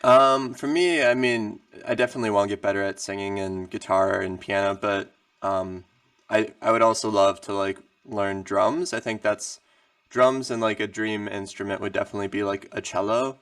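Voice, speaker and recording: A man's voice: on the low side, steady tone, normal speed, fairly bright tone, dry recording. A man in his twenties from Wisconsin, an easygoing musician guest telling his story in a casual interview. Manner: easygoing, agreeable. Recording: voice from far off the mic.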